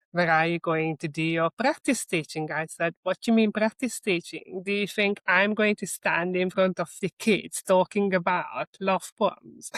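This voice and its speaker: A woman's voice: on the low side, fairly bright tone, no echo. A middle-aged woman with a Hungarian accent, speaking conversationally as an interview guest about herself and her work. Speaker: interview guest, marketing executive. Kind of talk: conversational interview, telling career story and advice.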